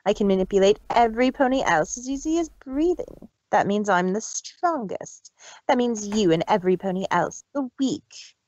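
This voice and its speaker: A woman's voice: medium pitch, animated, moderate pace, darkish tone, no echo, lo-fi sound, close to an everyday voice. A woman voicing a cruel, arrogant big-sister mare villain in a dramatic story reading, taunting and condescending. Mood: gloating. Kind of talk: dramatic fan fiction reading.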